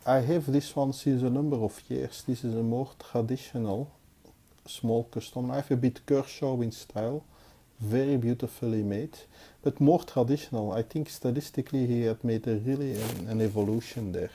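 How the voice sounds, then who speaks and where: Mellow, dark-voiced Belgian man reviewing. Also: medium pitch, steady tone. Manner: hesitant, halting, unscripted delivery. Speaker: knife collector and reviewer. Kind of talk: casual hands-on review commentary. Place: indoors, at a table.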